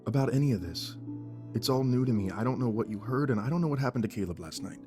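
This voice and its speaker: Medium pitch, actor as skeptical young father, exasperated husband, audio drama, music underneath. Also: lively intonation, fast, close to an everyday voice. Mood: weary, cautious.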